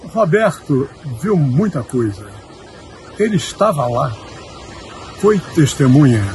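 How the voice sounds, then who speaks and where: A Brazilian man giving a spoken tribute in emphatic, short, deliberate phrases. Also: fairly high pitch, big pitch swings, a little mellow, slight background noise.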